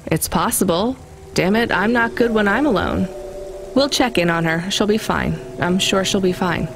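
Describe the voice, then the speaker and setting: A woman's voice: medium pitch, normal speed, noisy background, natural voice. A woman playing a weary, guarded but caring young female delivery driver in a video game, with a dry, informal manner. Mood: worried.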